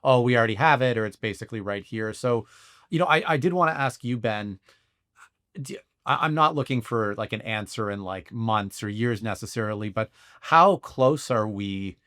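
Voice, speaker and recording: Lower-pitched male podcast host, dry sound. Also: lively intonation, normal speed, bright, forceful tone, no room sound. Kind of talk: conversational interviewing.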